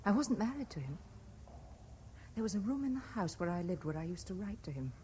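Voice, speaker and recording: An actress with a British accent playing a wistful, enigmatic married woman in a 1970s radio drama, musing with soft intensity. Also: mid-range voice, very animated, a little fast, close to an everyday voice. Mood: haunted, wistful. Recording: noisy 1970s radio broadcast recording.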